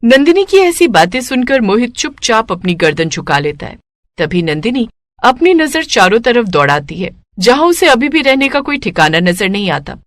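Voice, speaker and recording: A woman's voice: fairly high pitch, sing-song, moderate pace, a bit bright. A woman narrating a scripted moral story as a storyteller.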